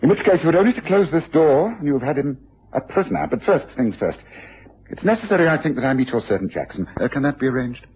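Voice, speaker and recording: An observant detective in a radio drama, absorbed and probing, musing as he goes. The voice is markedly husky and rises and falls freely. The recording is dulled, like an old broadcast. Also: on the high side, lively intonation, quick pace, a little mellow, lo-fi sound, heavily acted. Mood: intrigued, reasoning. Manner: musing hesitations, thinking aloud while examining.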